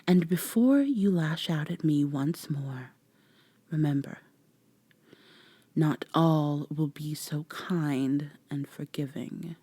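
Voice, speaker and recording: Haughty, teasing vampire woman acted by a woman, ASMR drama; lowish, close and dry, measured formal speech. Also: mellow, slightly rough, dry recording, exaggerated voice. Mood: amused, condescending. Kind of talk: soft-spoken ASMR roleplay acting.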